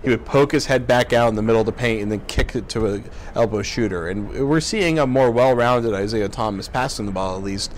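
A man's voice: mid-range voice, fairly quick, slight background noise. An American man hosting a casual sports show, talking through and analysing a game.

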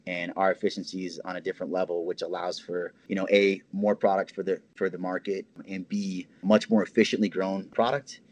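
A man in his thirties, originally from Hawaii, talking casually as a podcast guest and explaining things in long, animated runs. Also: sits low, a little fast, few pauses. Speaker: podcast guest, cannabis grower. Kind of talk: casual interview chat.

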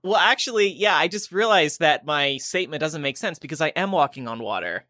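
A man's voice: a little high, expressive, fairly quick, sharp tone, no room sound, studio quality. A man co-hosting a comedy podcast, playing up mock outrage in his banter.

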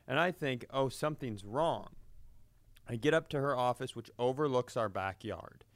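A man with a crisp, clear voice and average pitch tells a casual comic story as a podcast co-host. He is indignant and profane, acting out both sides of exchanges. The sound is dry and close. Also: normal speed, dry recording.

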